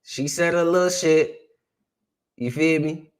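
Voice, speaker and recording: A man's voice: on the high side, a little slow. A man from South Carolina hosting a reaction video, talking in loose slang and laughing easily. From South Carolina, USA. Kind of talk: casual reaction commentary.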